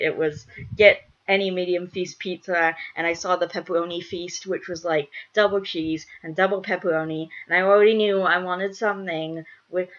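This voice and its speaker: A young woman vlogger talks in a slightly low voice, stuffed up with a cold. She rambles casually to her viewers, restating phrases for emphasis. The recording is a little dull and lo-fi. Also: fairly bright tone. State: congested from a cold.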